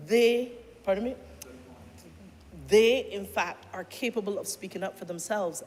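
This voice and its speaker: A middle-aged government minister with a Barbadian accent gives a formal, deliberate parliamentary speech, often addressing the chair and building points through repeated questions. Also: medium pitch, darkish tone. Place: parliament chamber. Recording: long-reverb chamber with faint noise.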